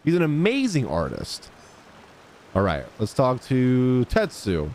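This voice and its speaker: Animated man streaming a game. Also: medium pitch, slow pace, slight background noise. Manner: reactive, expressive. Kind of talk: casual gameplay reaction commentary.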